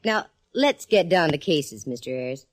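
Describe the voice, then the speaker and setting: A sassy young private eye. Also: female voice, low voice, animated. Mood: breezy. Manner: quick wisecracks, teasing and self-assured. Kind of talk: 1940s radio acting.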